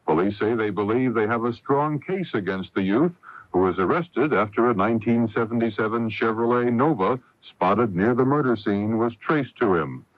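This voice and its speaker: A man's voice: on the low side, fairly bright tone, no echo. An American man giving a scripted news report as a radio correspondent, on a dull vintage broadcast.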